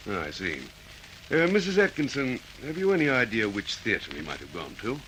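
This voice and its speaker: Aussie police detective in a radio play, polite but probing, crisp bright tone, faint noise behind. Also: male voice, medium pitch, very animated, normal speed, low-quality recording. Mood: polite, reassuring. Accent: Australian. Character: brisk, methodical, courteous male police detective. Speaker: actor in a radio drama. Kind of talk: classic radio detective drama acting.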